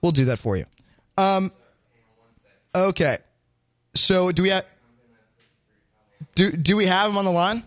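A man's voice: high voice, lively intonation, measured pace, long pauses, a bit bright, dry recording. A man hosting a casual studio chat show, joking and bantering with his guests. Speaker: show host. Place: small studio.

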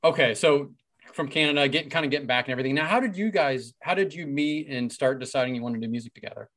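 A man's voice: mid-range voice, quick pace, fairly bright tone. A middle-aged man co-hosting a podcast, joking with guests and asking long, rambling questions. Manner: joking, asks rambling multi-part questions. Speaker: podcast co-host. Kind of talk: casual interview chat.

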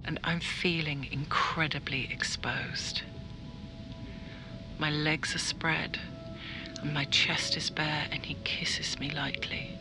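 Audio drama: sensual confiding woman, breathy slow whisper, intimate, music underneath. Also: low-pitched, steady tone.

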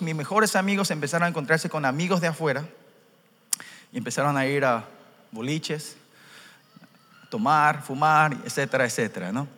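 Young Paraguayan-accented man, bright and a bit high, chatty testimony, church hall reverb. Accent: Paraguayan Spanish. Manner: conversational, tags lines with "¿no?". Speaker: interpreter and youth speaker. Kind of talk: testimony at a youth rally.

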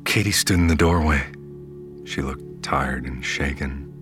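A man acting as a grave, measured first-person narrator in an audio drama, playing a wounded man telling his story. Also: rumbling low, over music. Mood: somber.

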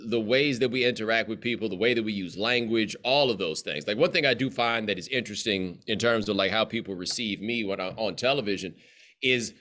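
A man's voice: fairly low pitch, brisk, bright, forceful tone. A man in his forties with a Southern American accent, a guest telling stories in a casual, idiomatic, emphatic way. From southern United States. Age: 40s. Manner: expansive, idiomatic, emphatic storytelling. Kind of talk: casual conversation.

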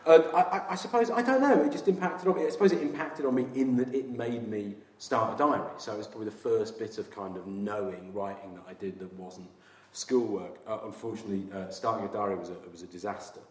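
A man's voice: on the low side, fast, few pauses, a little mellow. A man in his forties from Yorkshire, chatting as a guest with dry, self-mocking humour and frequent laughs. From Yorkshire, England. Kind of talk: casual chat. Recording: echoey room, mic at a distance, faint background noise.

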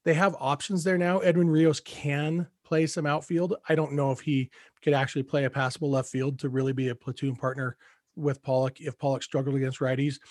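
A man co-hosting a casual sports podcast, giving measured, thoughtful analysis with hesitant restarts. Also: on the high side, flat delivery, a little mellow, dry recording, studio quality.

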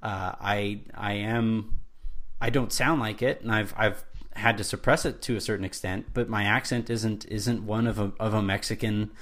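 A man's voice: fairly low pitch, fairly even intonation, normal speed, a bit bright. A man co-hosting a sports podcast, talking in a casual, earnest way and building his argument step by step with restarts. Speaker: podcast co-host.

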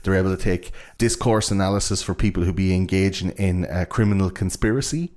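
A man's voice: low voice, fairly quick, a little mellow. A young man with an Irish accent, chatting casually and explaining things in an enthusiastic, rambling, sweary way.

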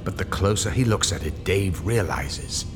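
A man's voice: deep voice, lively intonation, moderate pace, background noise. A man playing the narrator of a radio horror drama, grave and suspenseful in his dramatic scripted delivery. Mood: ominous.

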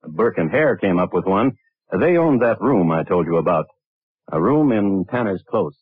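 An actor playing a sardonic crime storyteller, narrating an old radio drama in dry, measured scripted style. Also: male voice, low voice, expressive, slightly rough, no echo. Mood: wry. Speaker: narrator and host. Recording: phone-like vintage radio recording with music.

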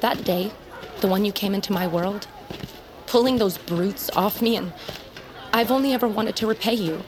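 A woman's voice: medium pitch, moderate pace, natural voice. A woman playing a feisty, proud young bird-folk outcast in a dramatic audio fiction, defiant and impassioned, with noisy ambience around her. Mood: wistful, admiring. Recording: noisy outdoor ambience behind voice.

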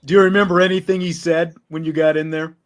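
A man's voice: on the high side, little pitch movement, fast. An American man hosting a sports show, interviewing guests in a casual, conversational way.

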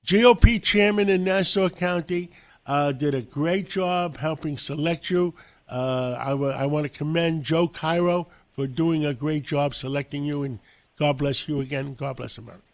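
A man in his seventies hosting an interview, speaking haltingly with many fillers. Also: fairly high pitch. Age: 70s. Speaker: host. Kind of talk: radio interview.

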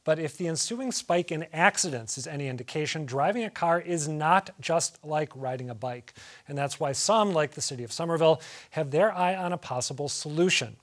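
A man hosts a public radio talk show in a slightly higher register. He moderates in a brisk, conversational way and keeps the talk moving. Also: animated.

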